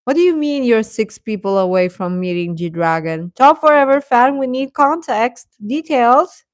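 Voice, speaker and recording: A woman's voice: on the high side, lively intonation, moderate pace, dry recording, studio quality. A woman hosting a livestream, chatting casually and playfully with her viewers. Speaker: livestream host. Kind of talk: casual chat with live viewers.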